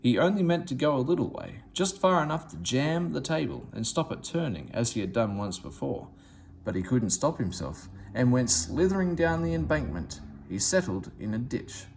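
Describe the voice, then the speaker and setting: A man narrates a children's story with a very animated pitch range. His reading is expressive and dramatized, with faint background noise. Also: moderate pace, creaky. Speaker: storybook reader. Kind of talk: children's story read aloud.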